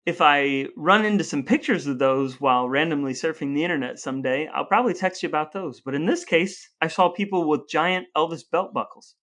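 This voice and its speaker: A man's voice: a little high, fairly quick, hardly any pauses, fairly bright tone. An American man co-hosting a conversational podcast, explaining things articulately in full sentences. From United States. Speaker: podcast co-host.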